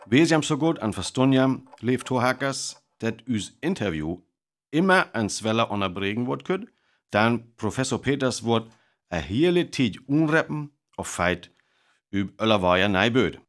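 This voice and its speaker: A man with a North Frisian accent playing an earnest live radio reporter in a radio play, announcing formally and deliberately. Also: medium pitch, very animated. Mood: earnest, attentive.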